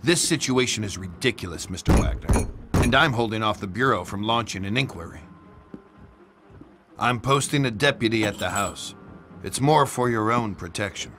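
An actor playing a weary, dogged American police detective in a video game, matter-of-fact and noir in style. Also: male voice, fairly low pitch, moderate pace, music in the background, lightly acted. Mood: stern. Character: weary, dogged male police detective investigating strange deaths. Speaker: detective character and narrator. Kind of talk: noir game voice acting and scripted narration.

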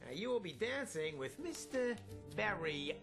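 A woman acting in a comedy radio play as a brisk, officious but polite audition official with a formal, businesslike manner. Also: mid-range voice, very animated, creaky, soft background music.

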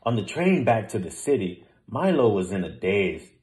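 A man's voice: fairly low pitch, big pitch swings, normal speed, a little mellow. A man reading a children's picture book aloud as narrator. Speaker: storybook reader. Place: small room at home, indoors.

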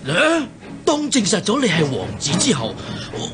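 An actor with a Hong Kong Cantonese accent playing an old usurper king in a radio drama, sighing and halting as he feigns weary remorse. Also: sits high, huge pitch swings, over music. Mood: feigned remorse.

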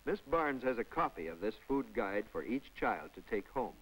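A man's voice: on the low side, big pitch swings, normal speed, fairly bright tone, slightly rough, rough recording. A man narrating a public information film in a scripted, chatty voice-over with folksy asides to the viewer. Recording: old film soundtrack with faint music.

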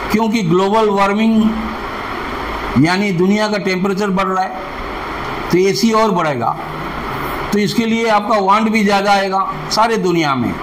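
A man's voice: high-pitched, normal speed, long pauses. A man, explaining things as a senior technical instructor in an interview. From India. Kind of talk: explaining in an interview before a class. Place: small classroom, indoors. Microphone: clip-on lapel mic. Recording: noisy room ambience.

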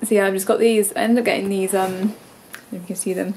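A woman's voice: medium pitch, little pitch movement, very fast, a little mellow, slight background noise, low-quality recording. A young English woman in her twenties chatting casually to a handheld camera in her bedroom, congested and rambling with lots of ums and likes. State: congested, stuffy nose. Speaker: vlogger. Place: bedroom at home. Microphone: camera held at arm's length.

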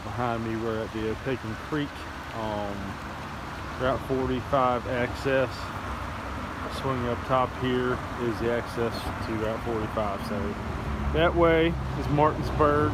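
A man hosting a fishing vlog, rambling casually with plenty of fillers as he walks a creekside access point outdoors. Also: medium pitch. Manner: rambling, chatty, with frequent fillers. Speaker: fishing channel host. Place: outdoors by a creek near a road bridge. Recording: outdoor camera audio with loud road noise. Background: loud outdoor ambience and passing traffic.

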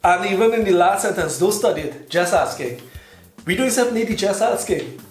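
A man's voice: sits high, fairly quick. A man in his thirties with a Trinidadian accent, casually explaining to the camera as a video teacher. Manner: relaxed, explanatory, gestures while talking. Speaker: YouTube host teaching. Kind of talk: casual talking-to-camera lesson. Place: indoors in front of a plain backdrop. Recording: echoey room with faint music.

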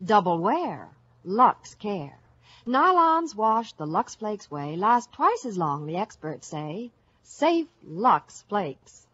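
A woman's voice: mid-range voice, very animated, takes it slowly, a bit bright, slight background noise. A woman chanting a rhythmic sing-song jingle on old radio. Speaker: commercial singer. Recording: dull old radio broadcast.

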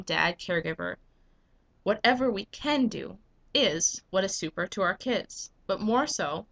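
Young woman w a cold, bright sharp voice, pushy rhetorical vlog pitch into her webcam. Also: vocal fry. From United States. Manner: earnest, emphatic, rhetorical questions. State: getting a cold. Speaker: vlogger and business owner. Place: small indoor room at home. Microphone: webcam at desk.

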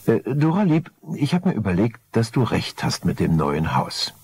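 A man voicing a smooth, scheming husband with a gravelly voice, ingratiating and calculating, in an audiobook crime story. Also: on the low side, wildly swooping pitch, moderate pace, darkish tone, pitched above the performer's own voice.

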